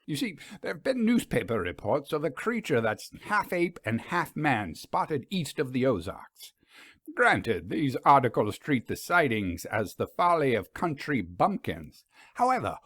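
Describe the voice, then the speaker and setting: An eager English professor of strange creatures, acted out by a man reading a story. He is scholarly, frank and persuasive, with very animated intonation. The sound is dry and free of room echo. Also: medium pitch, a little slow.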